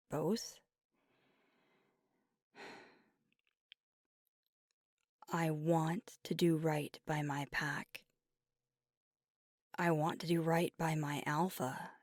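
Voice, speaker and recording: A woman playing an earnest, conflicted young werewolf girlfriend in an intimate ASMR roleplay, speaking softly and candidly, often near a whisper, close to the mic. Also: low voice, no room sound. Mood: earnest, tender. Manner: deliberate, candid, casually profane. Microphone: close microphone, dry.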